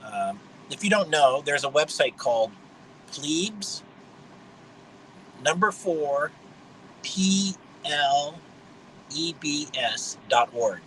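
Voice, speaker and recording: A man in his fifties with a high voice, hosting a rambling livestream monologue in a blunt, mocking way. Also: expressive, takes it slowly, pauses often, a bit bright, a little hiss or hum, rough recording. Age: 50s. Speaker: livestream host.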